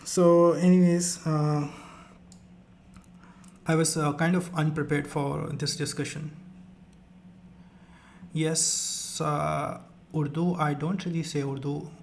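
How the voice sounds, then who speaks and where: A man's voice: on the high side, little pitch movement, slow pace, gravelly, pauses often, darkish tone, slight background noise. A young man with an Indian accent, whose first language is Rajasthani, hosting a live stream in casual chat, hesitant with many fillers and restarts.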